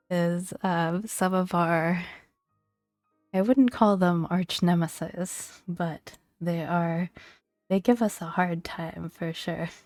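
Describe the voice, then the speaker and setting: A woman speaking softly and gently in a breathy near-whisper, narrating a video game as ASMR. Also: fairly low pitch, no room sound, studio quality. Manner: gentle, hushed delivery. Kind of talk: soft-spoken ASMR video game commentary. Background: soft background music.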